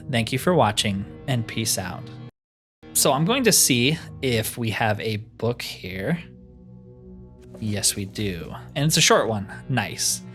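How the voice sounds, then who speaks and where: A man hosts a gameplay video with music playing behind him. He talks in a mid-pitched voice, casual and easygoing, thinking out loud as he plays. His commentary is chatty and informal. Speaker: gaming video host.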